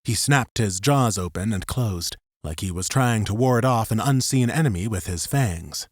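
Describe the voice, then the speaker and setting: Casting: male audiobook narrator, lowish voice, scripted story narration, close dry sound. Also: lively intonation, moderate pace, no echo.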